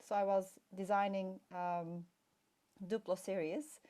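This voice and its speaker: A woman's voice: mid-range voice, level tone, slow, warm tone. Turkish-born woman telling her story. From Turkey. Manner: chatty, meandering storytelling with fillers. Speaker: guest, play expert. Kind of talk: casual interview chat.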